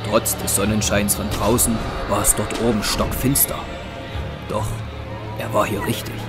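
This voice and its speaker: A man narrating a scripted dramatic story in a measured, solemn way. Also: lowish voice, little pitch movement. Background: music.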